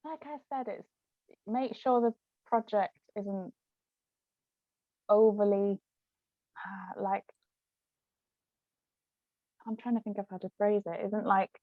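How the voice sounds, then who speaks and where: Female writer chatting, mid-pitched, tentative, hunting for phrasing; dry, slightly dull sound. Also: steady tone, slow pace, long pauses, no echo. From Britain. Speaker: author, co-writer of a novel. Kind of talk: casual panel conversation.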